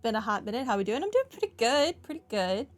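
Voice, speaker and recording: A woman's voice: fairly high pitch, big pitch swings, fast. Wry woman streaming a game. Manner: wry, talks back to the game. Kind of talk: casual playthrough commentary.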